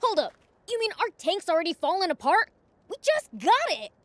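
A woman's voice: very high voice, big pitch swings, a little fast, no echo. A woman playing a brash, impish young demon prince in a video game. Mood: indignant.

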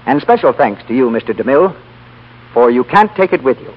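A man reading a letter aloud as a 1930s radio advertisement, measured and earnest like a salesman. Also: mid-range voice, big pitch swings, breathy edge. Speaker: radio announcer. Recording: dull 1930s radio broadcast recording.

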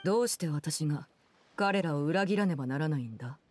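An actress playing an aloof, commanding woman in a Japanese game, speaking in a composed, measured, formal way. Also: low-pitched, animated, darkish tone, a little hiss or hum. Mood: calm, guarded. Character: cool, commanding woman, aloof diplomat and stern guardian. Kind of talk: Japanese anime-game voice acting.

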